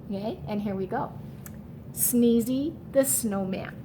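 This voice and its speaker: A woman teacher leading a children's read-aloud lesson, speaking in a deliberate, instructional way and repeating key words. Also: on the high side, background noise.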